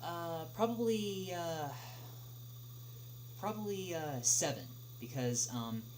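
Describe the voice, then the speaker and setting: Halting young man, noisy humming recording. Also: on the high side, expressive, a little mellow. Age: young adult. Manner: halting, hesitant delivery with frequent long filled pauses. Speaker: livestream host answering viewer questions. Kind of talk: casual livestream chat, reading out questions and answering. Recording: clearly noisy, humming background.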